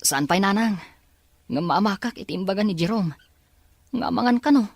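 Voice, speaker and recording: A woman's voice: mid-range voice, expressive, brisk, no room sound. A woman playing an earnest, persistent young male student and devoted son in an audio drama. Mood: puzzled.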